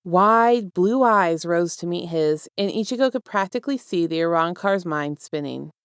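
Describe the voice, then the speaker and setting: Low, creaky, clear-voiced woman reader. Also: lively intonation, normal speed, dry recording, clean studio sound. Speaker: fan fiction reader. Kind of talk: scripted story narration, casual outro.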